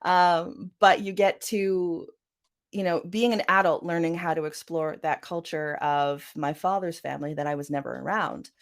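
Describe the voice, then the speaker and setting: A Canadian woman with a Vancouver accent speaks in a low, easygoing voice. She is an author guest in a relaxed interview, and her talk rambles with fillers and quick laughs. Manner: chatty, laughs often, many "you know" fillers. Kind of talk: casual interview conversation.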